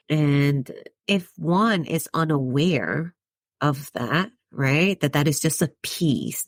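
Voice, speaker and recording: A woman's voice: sits low, dry recording. A woman from the Dominican Republic hosting her own podcast, talking through her thoughts in a reflective monologue and often checking in with "right?". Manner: conversational, tags points with "right?", chuckles between thoughts. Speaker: podcast host.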